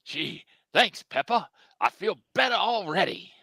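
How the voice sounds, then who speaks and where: A man's voice: high-pitched, very animated, measured pace, gravelly, sharp tone, no echo, exaggerated voice. A man playing an earnest, plucky, hapless cartoon hero with pleading melodrama in a table read. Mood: woozy, brave.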